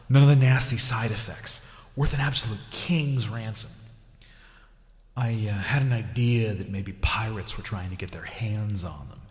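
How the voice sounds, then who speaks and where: A man's voice: on the low side, darkish tone, natural voice. A man playing a blunt, skeptical starship commander in an audio drama, with a wry, informal way of talking. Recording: echoey room with long reverb.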